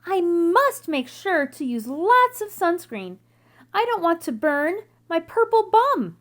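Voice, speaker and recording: A woman's voice: very high voice, big pitch swings, slow pace, heavily acted. A woman voicing a fussy, cheeky girl aubergine in a storybook, with a prim, comic manner.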